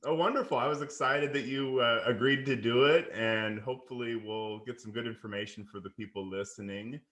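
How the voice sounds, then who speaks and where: Bright-voiced male podcast host. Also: medium pitch, steady tone, a little fast. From United States. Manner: friendly, upbeat with fillers. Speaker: podcast host, wealth manager. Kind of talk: casual interview.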